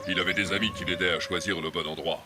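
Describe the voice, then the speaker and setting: An actor voicing an old bison scout in a cartoon, earnest and self-doubting, with a heavy voice and solemn, halting speech. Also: male voice, steady tone, heavy background noise, close to an everyday voice. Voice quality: deep, heavy voice. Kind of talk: dubbed cartoon acting. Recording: loud music under dialogue.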